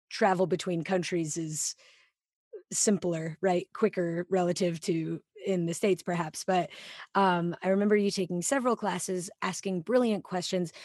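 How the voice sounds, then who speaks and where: A woman's voice: on the low side, darkish tone, dry recording. A woman hosting a podcast, speaking into a studio mic with headphones on, in effusive, chatty casual talk. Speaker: podcast host. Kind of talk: casual interview chat.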